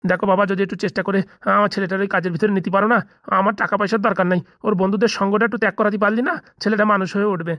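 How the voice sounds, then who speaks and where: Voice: mid-range voice, flat delivery, very fast, fairly bright tone, dry recording, clean studio sound, close to an everyday voice. A performer playing a fretful, kindly middle-aged landlord and parent in a comedy cartoon, chatty and fussing, with a colloquial Bengali accent.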